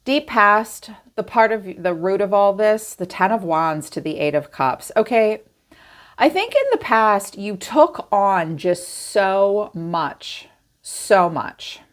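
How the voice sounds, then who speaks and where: A middle-aged woman giving a casual tarot reading straight to her viewers, chatty and animated, acting out their inner thoughts. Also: medium pitch, takes it slowly. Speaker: tarot reader.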